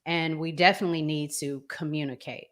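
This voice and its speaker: A middle-aged woman hosting a casual live discussion in a deliberate, halting way. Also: sits low, steady tone. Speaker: talk show host.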